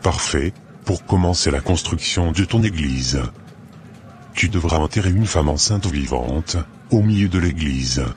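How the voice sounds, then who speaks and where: A man voicing a sinister, commanding older marabout sorcerer in a French cartoon, speaking in a measured, solemn way. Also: low voice, expressive, darkish tone, slight background noise. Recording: music behind the voice.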